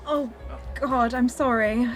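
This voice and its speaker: A woman's voice: fairly high pitch, steady tone, slow, soft background music. An actress playing a teasing, flirtatious woman who is insecure and wounded underneath, in a radio drama. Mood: anxious, apologetic. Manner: teasing, confessional.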